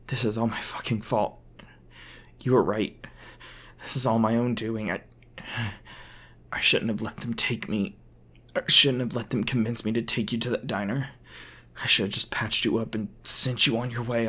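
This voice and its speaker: A man playing an edgy, protective young hunter in an audio roleplay, earnest, urgent and halting. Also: mid-range voice, bright, forceful tone, slightly rough. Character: edgy, anxious young male hunter, protective and foul-mouthed.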